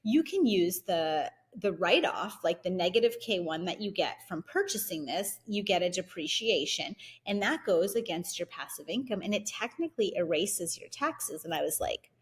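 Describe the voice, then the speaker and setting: A woman whose first language is Italian, telling her investing story as an interview guest in an energetic, fast, filler-heavy way. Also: on the low side. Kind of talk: casual interview conversation.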